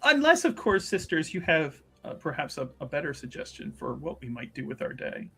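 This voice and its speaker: Man playing a high, lively lady investigator. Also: brisk, creaky, breathy edge, faint music behind, exaggerated voice. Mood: polite, coaxing. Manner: polite, roundabout, hesitant. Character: chipper, sly, well-mannered female investigator.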